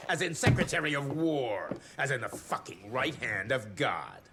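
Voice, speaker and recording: A sneering villainous secretary. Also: male voice, medium pitch, big pitch swings, moderate pace, heavy background noise. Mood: menacing. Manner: sneering, mocking, bursts into shouting. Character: scheming, power-hungry male government secretary villain. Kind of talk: video game cutscene acting. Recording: game audio with loud music.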